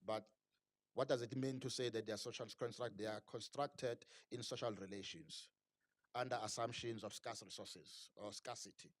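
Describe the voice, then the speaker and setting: A man's voice: medium pitch, steady tone, normal speed, dry recording, studio quality. A man speaking at a book launch, earnest and analytical, often restarting with repeated words. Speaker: panel speaker. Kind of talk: unscripted talk at a book launch.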